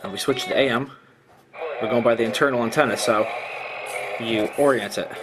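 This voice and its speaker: A man's voice: medium pitch, normal speed, noisy background. A man giving a casual, hands-on product demonstration, explaining things in a plainspoken way. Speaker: product reviewer.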